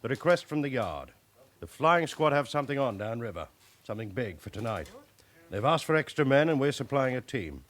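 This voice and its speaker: An actor playing an authoritative British senior police officer in a radio drama, brisk and matter-of-fact as he gives orders. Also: mid-range voice, big pitch swings, lightly acted.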